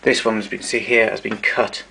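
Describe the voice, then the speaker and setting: A man narrating a casual show-and-tell of his tape recorders, explaining step by step with pauses and fillers. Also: fairly low pitch, level tone, gravelly, sharp tone, breathy edge.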